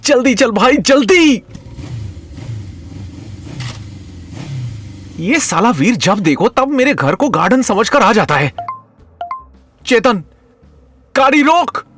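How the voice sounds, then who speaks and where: A man playing a hot-headed, foul-mouthed, panicky young man in an audio comedy drama, loud and exasperated. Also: very high voice, sing-song, a little slow, pauses often, soft background music, full character voice. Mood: urgent.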